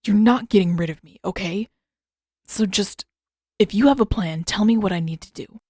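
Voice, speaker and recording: A woman's voice: medium pitch, moderate pace, darkish tone, no room sound, close to an everyday voice. Woman playing a blunt, protective teenage prince. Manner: urgent, blunt, dry. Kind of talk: fantasy audiobook character voice.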